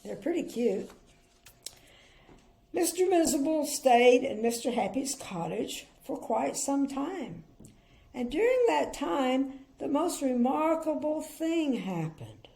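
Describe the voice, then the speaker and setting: Mellow, creaky-voiced older woman reading bedtime stories. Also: sits high, very animated, takes it slowly. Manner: chatty, gently opinionated commentary. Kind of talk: expressive children's storybook reading with casual asides.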